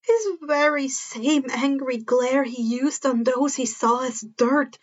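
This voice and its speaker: A woman's voice: high-pitched, slow, highs cut off. A woman reading a story aloud as narrator, now and then stumbling over and repeating words, on a modest home recording. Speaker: fan fiction narrator.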